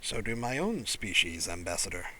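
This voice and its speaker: Audiobook alien elder statesman, played by a male narrator: shrewd, affable, measured, bright-toned. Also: lowish voice, sing-song, pitched below the performer's own voice, heavily acted, poor audio. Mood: calm, pointed. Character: alien male clan father, shrewd, composed diplomat.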